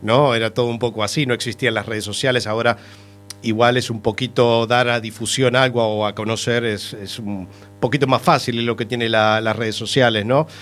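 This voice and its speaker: A man's voice: medium pitch, steady tone, a little fast, a bit bright. A man hosting a casual interview, chatting along and chiming in with short prompts. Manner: conversational, chimes in with short prompts. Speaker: radio host.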